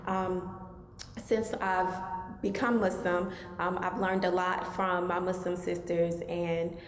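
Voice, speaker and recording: A woman from Maryland telling her personal story as a guest speaker, soft-spoken and hesitant, with many pauses. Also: on the low side, flat delivery. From Maryland, USA. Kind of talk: personal testimony talk. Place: mosque hall. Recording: echoey hall, faint hum.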